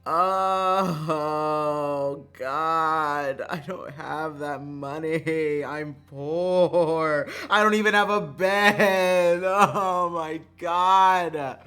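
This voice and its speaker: A game streamer chatting casually and excitably, swearing freely and swinging between calm asides and mock-angry outbursts. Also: mid-range voice, very slow, few pauses. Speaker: livestreamer. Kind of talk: casual chat while playing a visual novel. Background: background music.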